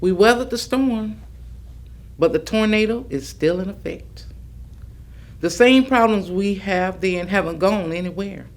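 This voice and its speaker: An actress playing a steadfast older civil rights veteran, plainspoken and deliberate, in a staged reading with a creaky voice. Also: female voice, medium pitch, natural voice. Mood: grave, firm. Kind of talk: staged reading of oral history testimony.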